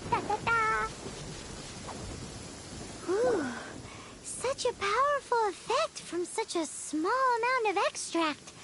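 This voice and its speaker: A woman voicing a tiny, excitable female fairy companion in a game cutscene, exclaiming and chattering eagerly. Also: extremely high pitch, a little slow, slight background noise, heavily acted. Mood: excited. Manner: excitable, exclaiming, refers to herself by name. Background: game music.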